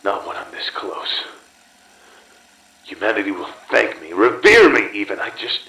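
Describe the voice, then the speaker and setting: A man playing a desperate, paranoid and murderous scientist in a halting, intense monologue for a horror game audio log. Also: on the low side, pushed and bright, echoey room, low-quality recording. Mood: paranoid, defiant.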